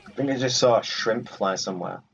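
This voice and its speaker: A man hosts a casual game playthrough with a playfully boastful delivery. His voice sits at a middling pitch and sounds dull and set back from the microphone. Music plays behind him as he talks. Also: fairly quick, gravelly, a bit bright. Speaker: gaming video host. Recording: muffled, distant mic over game music.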